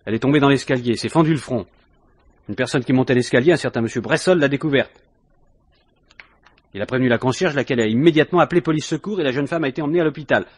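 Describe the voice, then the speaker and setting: An actor playing a suspicious police inspector in a 1950s French radio mystery, questioning insistently with dry understatement. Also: male voice, mid-range voice, fast talker, no echo, lightly acted. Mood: methodical, suspicious.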